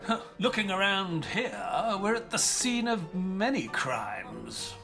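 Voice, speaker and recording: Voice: medium pitch, big pitch swings, takes it slowly, vocal fry, a bit bright, soft background music, full character voice. An actor playing a dry-witted, proper army doctor in an audio drama, with a polite, wry manner. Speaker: narrator and companion. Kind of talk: audio drama narration and acting.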